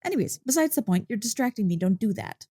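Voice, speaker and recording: A woman playing an excitable, playful dog-girl girlfriend in an ASMR roleplay, gushing and teasing with mock indignation. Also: mid-range voice, quick pace, husky, no echo, studio quality. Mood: playfully indignant. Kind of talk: ASMR roleplay, one-sided conversation.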